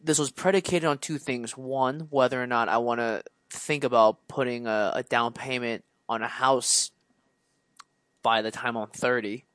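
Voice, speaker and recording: A man's voice: mid-range voice, fairly even intonation, moderate pace, a bit bright, no room sound. Chatty man in his twenties, dry close sound. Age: 20s. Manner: chatty, rambling with restarts and laughs. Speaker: podcast co-host. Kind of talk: casual chat.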